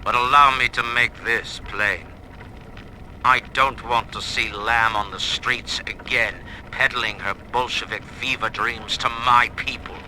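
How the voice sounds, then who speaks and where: An actor playing a ruthless middle-aged ruler of industry, giving commanding, contemptuous orders in a game audio log. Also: male voice, mid-range voice, steady tone, unhurried, bright, forceful tone. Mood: cold, menacing. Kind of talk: dramatic recorded order. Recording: noisy, hissy vintage audio log.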